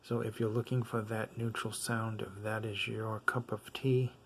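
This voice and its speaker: A low-pitched man with a blend of Floridian and New England accents talks through a gear review in a flat, even tone. His delivery rambles, packed with "uh"s and repeated praise. A faint hiss sits under the desk recording. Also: normal speed. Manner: rambling, filler-heavy, enthusiastic. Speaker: earphone reviewer. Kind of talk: casual product review.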